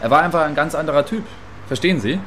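A man's voice: fairly high pitch, animated, fast talker, fairly bright tone, slight background noise. A man playing a stubborn, provocative radio field reporter in a radio play, musing hesitantly yet insisting on his view. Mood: musing, defensive. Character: opinionated male field reporter, stubborn, quietly admiring, provocative.

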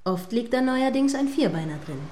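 Prim, formal radio presenter. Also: female voice, high voice, animated, dark tone. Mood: earnest, probing. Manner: formal, measured, wordy. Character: earnest, primly correct female radio news presenter. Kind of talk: mock live radio news interview, scripted radio play.

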